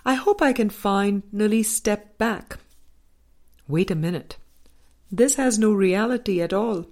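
A woman reading a spiritual book aloud as a scripted audiobook, in measured, deliberate short phrases. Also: mid-range voice, darkish tone. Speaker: audiobook reader.

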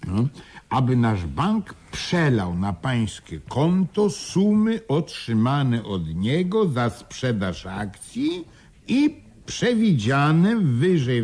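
Excitable, husky-voiced businessman. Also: medium pitch, heavily acted. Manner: flustered, stammering and repeating words, chuckling. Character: excitable, scatterbrained businessman, gleeful company partner. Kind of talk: 1980s Polish radio play acting.

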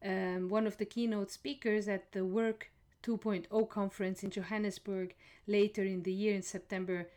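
A woman podcast host introducing her guest in a measured way, with a few "um" pauses. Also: mid-range voice, flat delivery, darkish tone.

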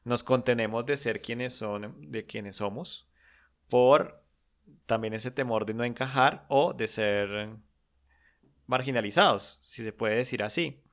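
A man's voice: mid-range voice, slow pace, a bit bright. Reflective man, podcast co-host. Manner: reflective, agrees briefly while the other host talks. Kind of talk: casual chat.

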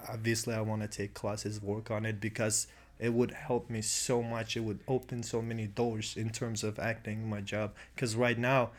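A man's voice: on the low side, flat delivery, normal speed, hardly any pauses. A young man with a Russian accent, a native Russian speaker from Russia, talking casually as an actor and guest, agreeing often and adding "man" to his lines. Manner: agreeable, lots of "yeah" and "man". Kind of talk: casual conversation.

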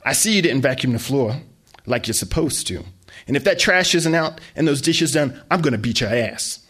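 A man voicing a domineering middle-aged mother who scolds and threatens her son, with a husky voice. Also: mid-range voice, big pitch swings, fast, voice pushed up. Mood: stern.

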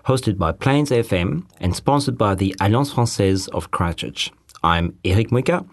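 A man presenting and interviewing as a radio host. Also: fairly low pitch.

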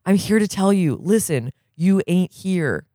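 A man's voice: sits high, lively intonation, unhurried, mellow, no echo, studio quality, voice pushed up. A man voicing a ragged, paranoid addict from a novel, urgent and confiding, with slangy, insistent talk. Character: ragged paranoid male addict, urgent, confiding, convinced people are machines.

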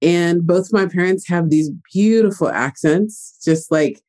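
A young man talking about his life as an interview guest, lively and chatty. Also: high-pitched, a little slow. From United States. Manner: animated, chatty storyteller. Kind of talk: casual interview talk.

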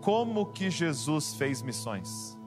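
A man's voice: on the high side, sing-song, takes it slowly, music in the background. A man preaching a sermon to a congregation, emphatic and rising to passionate exhortation. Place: church with keyboard behind.

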